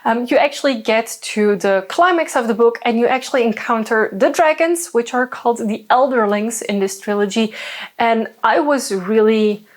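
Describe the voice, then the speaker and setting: Woman, native Dutch speaker, young adult, chatty, rambling, fairly high voice. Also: moderate pace. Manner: chatty, many fillers and asides. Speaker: book reviewer. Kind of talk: casual book review talk.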